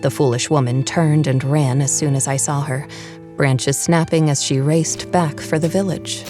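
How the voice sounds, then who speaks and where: Very low-voiced woman narrating a dark story. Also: fairly even intonation, normal speed, faint music behind. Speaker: audiobook narrator. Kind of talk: first-person dramatic story narration.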